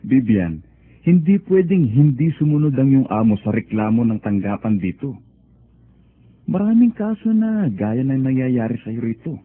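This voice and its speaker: A man playing a reassuring Filipino friend who patiently explains things in a radio drama. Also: medium pitch. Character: reassuring Filipino male friend giving practical advice. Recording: thin phone-like radio sound.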